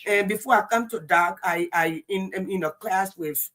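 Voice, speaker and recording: Woman teacher talking on a livestream panel, earnest and emphatic, bright forceful voice, keeps asking okay?. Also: mid-range voice, moderate pace. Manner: earnest, emphatic, often restarts phrases and checks in with "okay?". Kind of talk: casual livestream panel talk.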